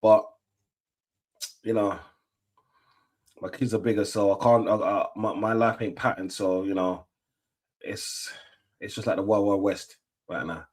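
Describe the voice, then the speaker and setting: Slangy man, lowish voice. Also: fairly even intonation, a little slow, long pauses, darkish tone. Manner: slangy, rambling with many fillers and restarts. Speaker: stream host. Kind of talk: casual watch-along chat.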